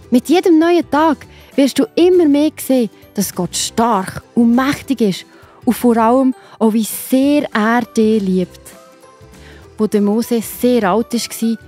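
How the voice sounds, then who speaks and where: A woman's voice: on the high side, mellow, slightly rough. A woman with a Swiss German accent narrating a scripted children's Bible story, reassuring and speaking straight to the young listener.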